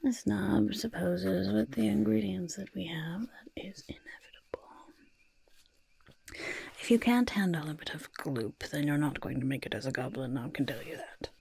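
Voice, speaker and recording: A gravelly, half-whispered goblin, played by a woman, brewing potions in an ASMR roleplay. The voice sits a little low and is thick with fry, yet it bounces about with cheerful mischief. It chatters fussily and repeats itself in a soft, intimate murmur. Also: lively intonation, moderate pace, heavily acted. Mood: soothing, instructive. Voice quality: husky, croaky, breathy half-whisper. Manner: fussy, chattering, repeats words. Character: cheerful, mischievous goblin potion-maker speaking in third person.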